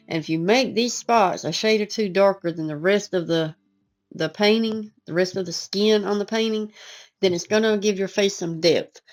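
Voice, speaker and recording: A woman who is losing her voice, hoarse and chatty, talking through a casual painting lesson over soft guitar music. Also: on the low side. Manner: casual, chatty, self-deprecating. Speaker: art teacher. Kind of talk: relaxed painting tutorial voice-over.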